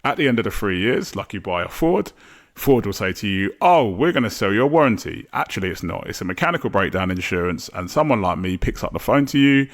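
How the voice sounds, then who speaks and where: A man's voice: fairly low pitch, big pitch swings, a little fast. A man, a podcast guest talking casually, rambling with many fillers and restarts. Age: 40s. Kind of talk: casual interview chat.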